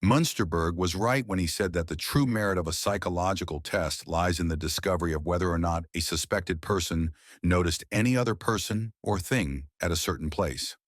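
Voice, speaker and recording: A man voicing a shrewd, confident male detective who questions in a sharp, probing, sarcastic way, in an audiobook. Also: sits low, no room sound, studio quality. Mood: calm, triumphant. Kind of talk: audiobook character voice.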